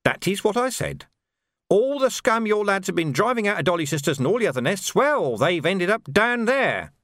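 Guy doing a creepy unhinged sergeant, high sneery voice, fake polite and nasty. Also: sing-song, normal speed, a bit bright, no room sound, clean studio sound. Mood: sneering. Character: menacing, unhinged male sergeant, mocking and sly.

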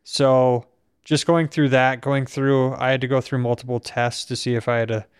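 A young man from Minnesota talks in a steady, reflective way. His voice is smooth and clean, with little rise and fall and not much breath behind it. The recording is dry and close to the mic. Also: mid-range voice, normal speed, no echo. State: weak breath support. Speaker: podcast host. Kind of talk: casual conversation.

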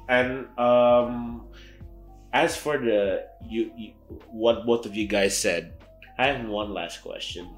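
A young man hosting a casual interview, phrasing his questions in a halting, tentative way. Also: medium pitch, soft background music. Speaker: podcast host. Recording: roomy echo.

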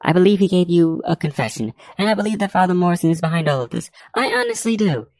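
A woman voicing a brisk, no-nonsense, dismissive female judge in an audiobook. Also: fairly low pitch, expressive, a little mellow, no echo, voice pushed up, close to an everyday voice. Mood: firm warning.

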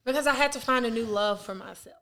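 A woman's voice: a little high, fast, bright, forceful tone. A young adult woman co-hosting a casual podcast chat, frank and reflective, laughing often. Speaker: podcast co-host.

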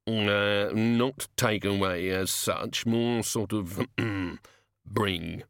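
A male narrator plays a shabby little gnome from a fantasy storybook, cornered and evasive. He speaks slowly in rough, uneducated street talk, hesitating and pleading as he goes, and the sound is close and dry. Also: fairly low pitch, fairly even intonation, fairly bright tone, no room sound, heavily acted. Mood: sheepish, hesitant.

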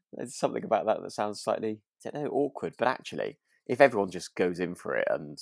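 Lively-pitched British man, close and dry. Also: a little fast, creaky, dry recording. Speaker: podcast co-host. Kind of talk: casual, relaxed chat.

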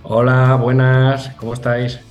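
A man, an interview guest telling stories casually in a halting, colloquial, self-deprecating way. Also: medium pitch, completely flat delivery, a little mellow, highs cut off, soft background music. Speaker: interview guest, forestry engineer. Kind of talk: casual conversational storytelling.

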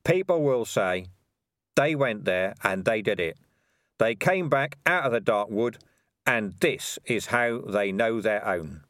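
A man voicing a fierce, scarred male rat clan leader who rouses his troops loudly and emphatically. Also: fairly low pitch, expressive, takes it slowly, no echo, studio quality. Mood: commanding. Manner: rousing, loud and emphatic.

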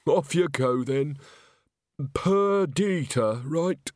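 Condescending auditioner, drawled and raised in pitch, dry close sound; male reader doing the voice. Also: animated, very slow, a little mellow, no echo. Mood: patronizing. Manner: drawling, patronizing.

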